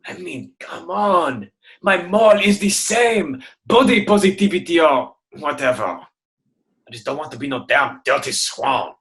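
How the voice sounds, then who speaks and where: Bright, swooping, indignant duck. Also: male voice, a little high, animated, unhurried. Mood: defiant, proud. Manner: grumbling, boastful, peppers speech with French. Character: proud, indignant ugly duckling who refuses to be swan. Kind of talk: irreverent radio play acting.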